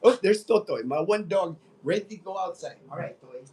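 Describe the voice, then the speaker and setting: Higher-voiced American man, casual livestreamer. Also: expressive, moderate pace, heavy background noise. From United States. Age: late 50s. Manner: rambling, conversational, repeats phrases. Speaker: livestream host. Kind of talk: casual livestream chat, reading out viewer comments.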